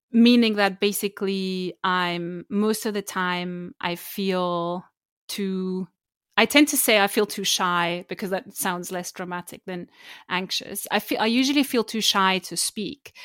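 A woman with a German accent talks with an even, mid-range pitch. She answers thoughtfully and hesitantly, often restarting her sentences. The sound is dry and close. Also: clean tone, no echo. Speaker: guest. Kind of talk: reflective interview conversation.

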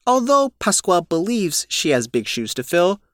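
A man's voice: high voice, big pitch swings, measured pace, no echo, studio quality. A man narrating a scripted news feature as a reporter.

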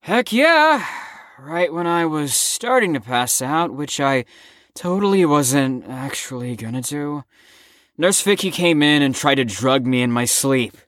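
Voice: mid-range voice, expressive, unhurried, fairly bright tone, dry recording, clean studio sound. An actor in an audio drama playing a stubborn, sarcastic young patient who is worn out from lack of sleep. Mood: manic, boastful. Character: flippant, exhausted, stubborn young patient called Storm.

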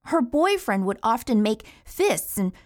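A woman's voice: fairly high pitch, very animated, measured pace, no room sound. Blunt female inmate, close dry sound. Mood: indignant. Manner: blunt, profane. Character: indignant, grieving female inmate mourning her friend. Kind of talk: storytelling audio play monologue.

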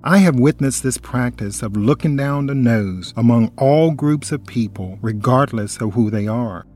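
A man co-hosting a Bible study who gives scripted teaching in an earnest, steady way. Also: mid-range voice, measured pace, soft background music.

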